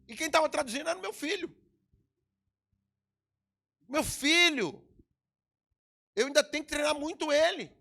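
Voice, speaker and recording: A middle-aged Brazilian pastor preaches in a Brazilian Portuguese accent, his high voice leaping up and down across a wide range. A gravelly edge runs through his delivery as he surges into emphatic peaks and then drops low again. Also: expressive, a little slow, long pauses, sharp tone. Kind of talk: sermon. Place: church hall.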